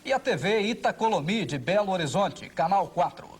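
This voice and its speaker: Husky, high-pitched Brazilian male narrator. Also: lively intonation, moderate pace. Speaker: documentary narrator. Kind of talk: scripted narration.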